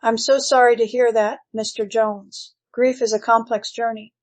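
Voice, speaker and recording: A woman's voice: a little high, little pitch movement, moderate pace, lightly acted. A woman playing a composed, reassuring grief-therapy doctor in a screenplay reading, speaking in a formal, placating way. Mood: consoling.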